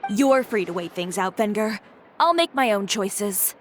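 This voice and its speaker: A woman's voice: medium pitch, lively intonation, measured pace, slight background noise, lightly acted. Woman as a cool, proud, resolute young designer. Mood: defiant, cool. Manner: composed, curt, resolute. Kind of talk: video game voice acting.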